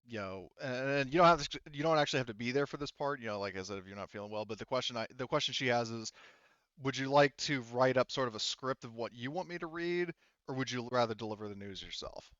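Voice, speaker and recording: Man, game master, smooth clear voice, casual halting narration, dry sound. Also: medium pitch, lively intonation, fairly bright tone, no room sound, muffled recording. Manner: halting, informal, talks around points. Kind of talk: casual tabletop roleplay narration.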